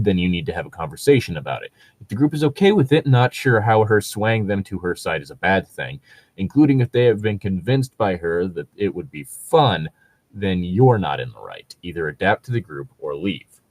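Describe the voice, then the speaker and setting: A man with a low voice talks casually through his opinions, stopping and restarting as he goes. The sound is dry and close, and he peppers his talk with fillers and the odd swear word. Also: darkish tone, dry recording. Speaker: podcast host reacting to read-aloud Reddit posts. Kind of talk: casual chat and commentary.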